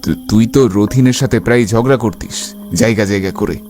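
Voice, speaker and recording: A man voicing a persuasive, impatient villager with a brisk, cajoling manner in a horror cartoon. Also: fairly low pitch, music in the background, close to an everyday voice. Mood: coaxing. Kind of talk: Bengali horror cartoon acting.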